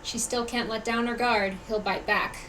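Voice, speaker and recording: A woman voicing a calm, wary traveler giving advice in a video game. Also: sits high, a little slow, a little hiss or hum, low-quality recording.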